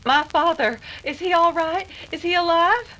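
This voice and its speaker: Very high, fretful rancher's daughter played by a woman. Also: normal speed, pushed and bright, rough recording. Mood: anxious. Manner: melodramatic, pleading. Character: distraught young rancher's daughter, tender and fretful. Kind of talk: old-time radio western acting.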